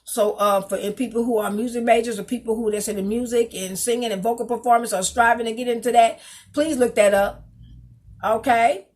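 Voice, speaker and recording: A woman's voice: fairly high pitch, steady tone, fairly quick. A woman in her fifties talking to her webcam at home, reading from her resume in a rambling way and spelling out words. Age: 50s. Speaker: vlogger, singer and dancer. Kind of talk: casual talk to camera, reading from her resume. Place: small home room, indoors. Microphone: webcam on a desk.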